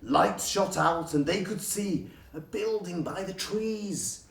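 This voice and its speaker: A man reading a rhyming storybook as a theatrical, expressive storyteller. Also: high voice, takes it slowly, creaky. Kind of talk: scripted rhyming storybook narration. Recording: roomy, distant from the microphone.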